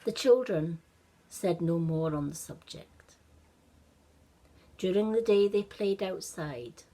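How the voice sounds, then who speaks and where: Lady reading kids storytime, really slow, expressive storyteller. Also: lowish voice. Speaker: children's storyteller.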